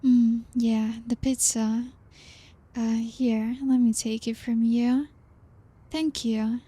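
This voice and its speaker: A woman voicing a lonely, seductive female vampire in an ASMR roleplay, speaking softly with teasing laughs and dropping into whispers. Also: fairly high pitch, fairly even intonation, husky, dry recording. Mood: coaxing. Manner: slow, teasing and coaxing, soft-spoken with soft laughs; drops into whispers.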